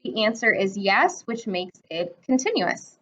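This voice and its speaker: Bright-voiced woman teacher, lo-fi recording. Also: mid-range voice, very animated, measured pace. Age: 20s. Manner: explains step by step, checks in with frequent "right?". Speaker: math teacher. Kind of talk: recorded classroom lesson. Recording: dull lo-fi recording.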